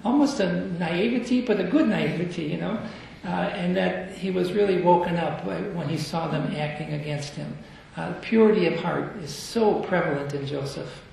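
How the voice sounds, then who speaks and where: Male study leader, gravelly, questioning and chuckling, echoey distant room recording. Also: high voice, moderate pace, hardly any pauses, darkish tone, slight background noise. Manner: asks the group questions, chuckles often. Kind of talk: group Bible study discussion. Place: meeting room at a convention. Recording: echoey room, far from the mic.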